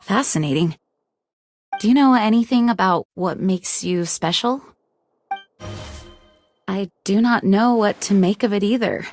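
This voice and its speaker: A woman voicing a dignified, earnest young knight commander in a video game, speaking in a formal, measured way. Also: mid-range voice, a little mellow, lightly acted. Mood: solemn, grateful. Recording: music behind the voice.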